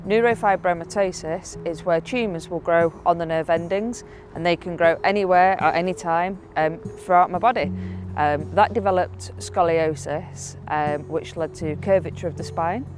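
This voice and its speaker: A woman with a slightly low voice answers documentary interview questions in a chatty, upbeat manner. Music plays behind her. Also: moderate pace, darkish tone. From Manchester, England. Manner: chatty, upbeat, self-described jolly.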